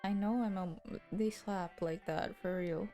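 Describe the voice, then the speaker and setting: A young woman with a husky, mellow voice reads story narration and drifts into giggly, chatty asides. Faint music plays behind her. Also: medium pitch, fairly even intonation, measured pace. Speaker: streamer reading a visual novel aloud. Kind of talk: scripted narration with casual asides.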